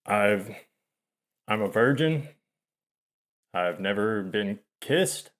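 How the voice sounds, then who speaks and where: A man in his thirties talking to camera in a confessional monologue, halting and hesitant, often trailing off and restarting, soft-spoken and self-deprecating. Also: on the low side, lively intonation. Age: 30s. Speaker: channel host.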